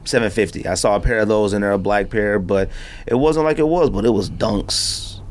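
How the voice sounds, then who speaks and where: A man with a fairly low voice hosts a laid-back podcast conversation. His talk is informal and full of slang, as in a chat between friends. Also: moderate pace, slight background noise. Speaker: podcast host. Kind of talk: casual sneaker podcast chat.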